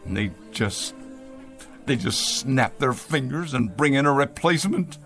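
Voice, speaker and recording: An actor playing a pompous, bitter ousted company boss in a science-fiction drama, neurotic, halting and imperious. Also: male voice, mid-range voice, lively intonation, a bit bright, faint music behind. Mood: wounded, resentful. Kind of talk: classic science-fiction radio drama acting.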